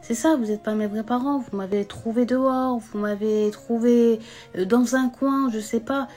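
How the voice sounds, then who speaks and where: A woman's voice: fairly high pitch, steady tone, moderate pace, darkish tone, voice pushed up, close to an everyday voice. A woman voicing a pleading young girl. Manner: pleading, insistent. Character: distraught twelve-year-old adopted girl.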